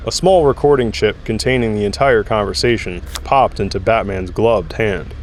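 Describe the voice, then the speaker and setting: A man's voice: fairly low pitch, moderate pace, slight background noise. A man reading scripted third-person narration for an audio drama.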